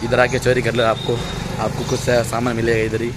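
A man's voice: mid-range voice, monotone, a little fast, over a noisy crowd. A young man vlogging on a walk, talking casually to his viewers about the day and laughing often, filmed on a phone held at arm's length outdoors. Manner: chatty, laughing, addresses viewers as friends. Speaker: travel vlogger. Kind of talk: casual travel vlog. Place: outdoors on busy town streets and at a tourist site. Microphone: phone held at arm's length, selfie. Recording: loud background music over the talk.

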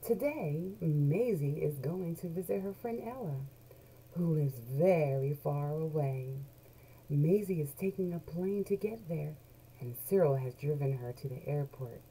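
A middle-aged woman reading a children's picture book aloud expressively, drawing out key words in a small room at home. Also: deep voice. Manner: animated storyteller, deliberate, drawn-out key words. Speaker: children's book read-along host. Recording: noisy home webcam recording.

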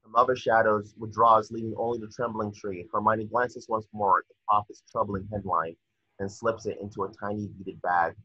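A man's voice: lowish voice, little pitch movement, normal speed, pushed and bright, slightly rough, dry recording. A young man reading screenplay stage directions as scripted narration, on dull video-call audio. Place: indoor room at home, on a video call.

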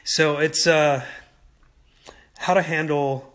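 A young man in casual livestream chat from home, talking easygoing and rambling into a phone held at arm's length. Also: on the high side. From United States. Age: young adult. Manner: easygoing, rambling, slow-paced, lively. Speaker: singer answering fan questions. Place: indoors at home.

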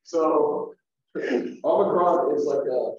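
A man teaching a Greek lesson at a whiteboard, explaining slowly with fillers and restarts, heard through a room mic on a video call. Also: a little high, a little mellow, recorded from a distance. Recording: echoey room, dull lo-fi sound.

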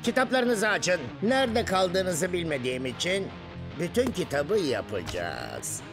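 A man's voice: sits high, sing-song, unhurried, over music, exaggerated voice. A man voicing a grumpy, bossy old substitute teacher in a cartoon dub, stern and pompous.

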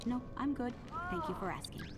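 A woman playing a brash, enthusiastic ghost hunter in a comedic game cutscene, confident and excitable. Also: fairly high pitch, brisk, natural voice. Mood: matter-of-fact. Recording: loud music under the dialogue. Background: loud music.

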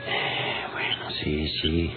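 An actor playing a wicked young hacienda heir, curt and commanding, in a radio drama. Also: male voice, extremely slow, loud music behind the voice. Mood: guarded menace. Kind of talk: old radio drama acting. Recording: muffled, noisy old radio recording.

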